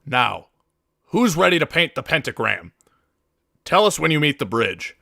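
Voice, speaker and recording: Brief: male reader for scripted game monologues, forceful bright tone with a gravelly edge, mid pitch, dry recording. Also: big pitch swings, unhurried, no room sound.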